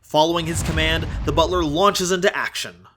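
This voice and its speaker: A man's voice: fairly high pitch, fairly even intonation, moderate pace, a bit bright, a little hiss or hum. A man narrating an audio drama from a script, in a theatrical storytelling manner. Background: prominent music.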